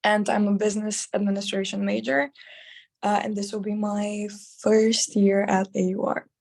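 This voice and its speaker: A woman's voice: medium pitch, flat delivery, normal speed, gravelly, a little mellow. A young woman student from Bulgaria, raised in London, giving a friendly, composed welcome.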